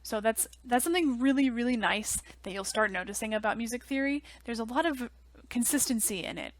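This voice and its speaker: A young woman teaching music theory in a relaxed, chatty way, full of ums and likes, on a headset mic in a small office. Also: medium pitch, no echo. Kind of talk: casual online lecture.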